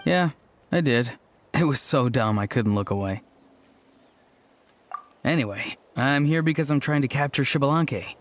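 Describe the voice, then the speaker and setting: A man's voice: mid-range voice, expressive, measured pace, long pauses, slight background noise, close to an everyday voice. A man playing an easygoing, slightly awkward young villager in a video game, hesitant but matter-of-fact. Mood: earnest.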